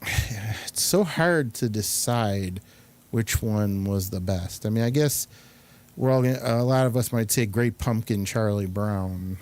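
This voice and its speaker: Halting man hosting a casual chat. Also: fairly low pitch, darkish tone. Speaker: host.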